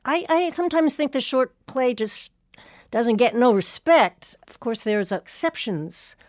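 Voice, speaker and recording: A woman hosting an arts interview, asking thoughtful questions in a measured, articulate way with pauses between phrases. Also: on the high side, lively intonation, no echo, studio quality. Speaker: interviewer, host.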